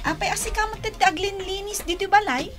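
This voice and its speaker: An actress with an Ilocano accent playing a whiny, reluctant young daughter in a radio comedy drama. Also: female voice, extremely high pitch, fairly quick, loud music behind the voice. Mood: curious, whiny.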